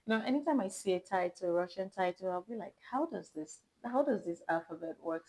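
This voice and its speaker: A woman's voice: medium pitch, lively intonation, a little fast, a little mellow, low-quality recording. A woman hosting a reaction video, chatting casually and thinking aloud with fillers. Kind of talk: casual chat.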